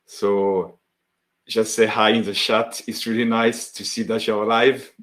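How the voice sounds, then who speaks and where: A man's voice: lowish voice, little pitch movement, unhurried, a bit bright. French-accented man hosting a live webinar. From France. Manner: friendly, greets chat viewers by name, many fillers.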